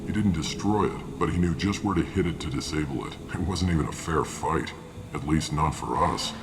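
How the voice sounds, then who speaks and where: An actor playing a gruff, weary, matter-of-fact male mercenary briefing hired guns in a video game. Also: rumbling low, normal speed. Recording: clearly noisy game ambience behind.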